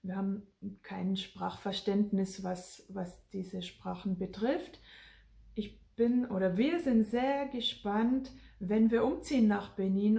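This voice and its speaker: A woman explains her family's language habits in a measured, thoughtful way, with occasional fillers. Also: medium pitch, gravelly, warm tone, roomy sound. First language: German. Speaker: mother sharing family experience. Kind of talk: casual explanatory talk to camera.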